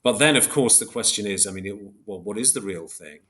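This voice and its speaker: A man with a fairly low voice gives considered answers as an academic guest in an interview. He feels his way through each idea, often stopping to rephrase and qualify himself as he explains. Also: lively intonation, a little fast, sharp tone. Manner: hesitant, self-correcting, reflective academic explaining. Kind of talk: thoughtful interview discussion.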